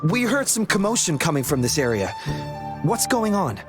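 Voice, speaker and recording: Rookie patrolman, lively pitch swings. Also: normal speed. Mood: suspicious. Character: suspicious, dutiful rookie patrol officer. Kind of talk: video game voice acting. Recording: music behind the voice.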